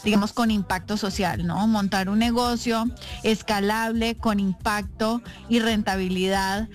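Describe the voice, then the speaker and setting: A woman raised in Costa Rica, speaking as an interview guest in a measured way, with many fillers. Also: medium pitch, steady tone. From Colombia, raised in Costa Rica. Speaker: guest, company CEO. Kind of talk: radio interview. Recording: music playing faintly underneath.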